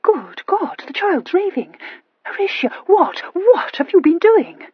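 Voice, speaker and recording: A woman's voice: high voice, fairly even intonation, moderate pace, no echo, lo-fi sound, voice pushed up, natural voice. A woman voicing a fretful, melodramatic mother who moans about her nerves. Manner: moaning, fading, melodramatic. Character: fretful, nervous older mother, faint and dramatic.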